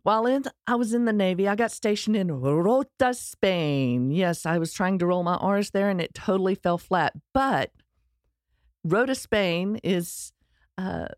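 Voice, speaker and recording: A woman's voice: mid-range voice, expressive, a little slow, dry recording, studio quality. A middle-aged woman with a Texan accent telling her personal story in a candid, earnest way, with touches of self-deprecating humor. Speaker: speaker sharing her life story.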